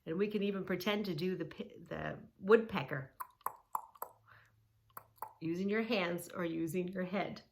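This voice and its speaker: A woman reading a children's book aloud and gently guiding kids through yoga poses, soothing and instructive. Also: lowish voice, unhurried, creaky, long pauses, a little hiss or hum. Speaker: kids yoga teacher and reader.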